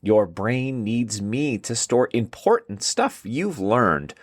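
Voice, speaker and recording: A man's voice: medium pitch, sing-song, takes it slowly, no room sound, studio quality. A man voicing a helpful male hippocampus creature, explaining things in a friendly way for a children's story. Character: squeaky, helpful seahorse-tailed hippocampus, male brain buddy.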